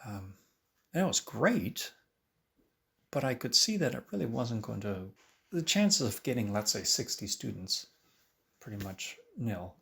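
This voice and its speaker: A man talking casually in an interview as a teacher looking back on his work, with an expressive way of telling it. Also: mid-range voice, a little slow, creaky, long pauses. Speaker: private violin teacher. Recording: roomy, distant mic, faint noise.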